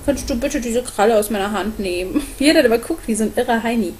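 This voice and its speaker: A woman's voice: fairly high pitch, fast, a little mellow, a little hiss or hum. A young adult woman chatting casually and informally to camera as a vlogger, recorded at home on a webcam. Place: small room at home, on a sofa.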